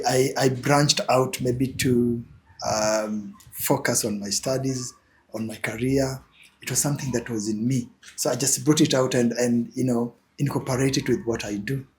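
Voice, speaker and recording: A young man with a Kenyan accent sits as an interview guest and talks at an ordinary middle pitch. He hesitates a lot, restarting phrases and filling gaps with "uh" and "um". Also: fairly even intonation, normal speed, darkish tone, slight background noise. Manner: hesitant, many fillers and repeated words. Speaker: guest, image consultant. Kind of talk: interview answers.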